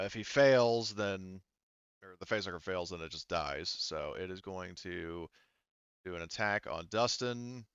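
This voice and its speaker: A man with a smooth, fairly low voice acts as game master for a casual tabletop session. He thinks aloud and pauses often while sorting out rules. The sound is close and dry but somewhat dull. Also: a bit bright, dry recording.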